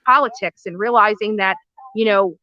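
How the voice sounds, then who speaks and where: A woman's voice: medium pitch, moderate pace, bright, forceful tone, no echo. A woman in an archive clip, an activist who praises herself earnestly with many "um"s and "you know"s. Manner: earnest, self-praising, filler-heavy. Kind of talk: self-promoting interview clip. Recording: dull lo-fi clip audio.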